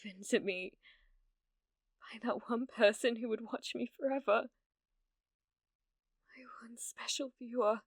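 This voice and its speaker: A British woman playing an obsessive, needy young female streamer in an ASMR roleplay, pleading and stammering, swinging between breathy whispers and outbursts. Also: fairly high pitch, measured pace, long pauses, dry recording, clean studio sound. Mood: hushed, tender. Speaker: ASMR voice actress.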